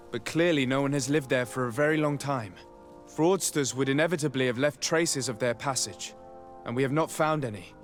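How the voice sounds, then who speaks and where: An actor playing a composed young noble diplomat, speaking calmly, formally and articulately in a video game. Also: male voice, mid-range voice, lightly acted. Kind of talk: video game drama acting.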